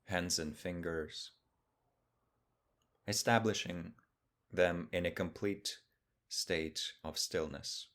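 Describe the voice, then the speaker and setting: A deep-voiced man teaches a guided meditation. He speaks in a calm, measured way, setting out short phrases one at a time. Long pauses separate each instruction.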